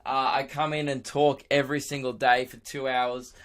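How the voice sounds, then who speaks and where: A young man with a hoarse, worn-out voice, rambling excitedly on his own podcast, laughing and swearing as he goes. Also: medium pitch, normal speed. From Australia. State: hoarse, lost voice. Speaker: podcast host and radio presenter. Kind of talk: casual solo podcast chat.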